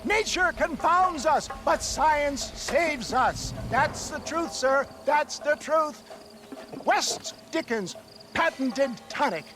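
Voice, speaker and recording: A man's voice: very high voice, a bit bright, a little hiss or hum, heavily acted. An actor playing a theatrical snake-oil salesman with grandiose, wheedling carnival-barker patter in a western video game. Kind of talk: video game dialogue acting.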